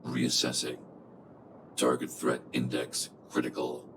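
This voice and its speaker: Extremely deep, cold guardian robot. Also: male voice, completely flat delivery, slow, noisy background. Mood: cold, threatening. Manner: formal, clipped machine-like delivery. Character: cold, imposing, logical guardian robot, protective, unyielding. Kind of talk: video game cutscene acting.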